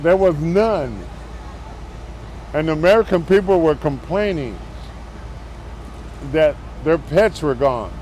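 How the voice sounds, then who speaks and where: A man's voice: fairly high pitch, lively intonation, slow, long pauses, darkish tone, a little hiss or hum, poor audio. Man rambling through old memories. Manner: rambling, plain-spoken recollection. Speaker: interviewee. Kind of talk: recalling neighborhood history in an interview.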